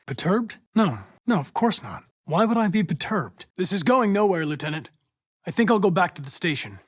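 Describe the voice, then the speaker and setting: A young American-accented android detective from a video game. His delivery is clipped and formal, relentlessly focused on the mission, yet his pitch moves widely. The recording is very dry and close. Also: very animated, breathy edge, no echo, heavily acted. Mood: composed, clinical. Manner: precise, clipped, formal, measured, insistent when pressed. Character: young male android police investigator, polite, composed, relentlessly mission-driven. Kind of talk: video game voice acting, isolated dramatic lines.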